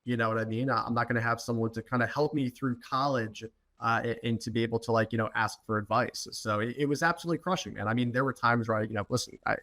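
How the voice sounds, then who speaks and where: A man's voice: mid-range voice, steady tone, fast talker, sharp tone. Informal young man telling a personal story. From United States, Philadelphia. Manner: informal, many restarts and repeated words. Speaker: podcast guest, entrepreneur. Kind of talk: personal storytelling in a podcast interview.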